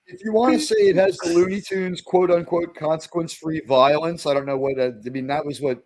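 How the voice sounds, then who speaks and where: Loud, opinionated man with a raised voice. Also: fairly high pitch, fairly even intonation, brisk. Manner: opinionated, emphatic rants. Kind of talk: casual chat on a live stream.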